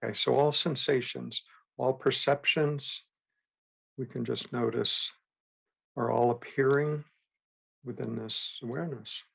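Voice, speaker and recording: A man guiding a meditation as a teacher, with gentle, unhurried instruction and frequent pauses. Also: mid-range voice, steady tone, vocal fry, husky. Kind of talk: guided meditation.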